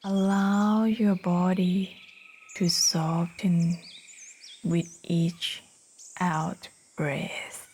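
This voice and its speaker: A woman narrating a guided meditation in a soft, soothing, breathy manner, leaving long pauses between short phrases. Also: lowish voice, level tone, slight background noise. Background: birdsong.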